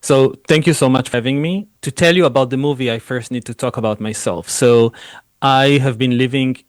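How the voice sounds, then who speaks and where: A man's voice: medium pitch, steady tone, a little fast, dry recording. A man with an Israeli accent, a film director answering interview questions earnestly, with frequent "you know" fillers.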